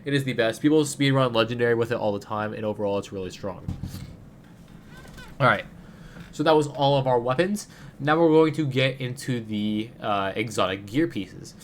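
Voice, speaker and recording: A man with a cold gives a loose, chatty game guide, and his voice comes out stuffy through a blocked nose. He wanders through his points with lots of fillers and restarts, at a middling pitch. A faint hiss sits behind him. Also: moderate pace. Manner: rambling, many fillers and restarts. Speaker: gaming video creator. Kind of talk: casual game guide commentary.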